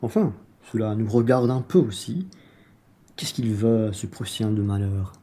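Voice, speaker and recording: A man's voice: on the low side, a little slow, mellow, slightly rough. A man playing a crafty, self-serving merchant husband in a radio play, familiar and cajoling in manner. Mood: grumbling, sly.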